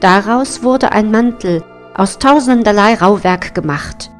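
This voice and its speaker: A woman narrating a scripted fairy tale as a storyteller, in a measured, deliberate manner. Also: medium pitch, faint music behind.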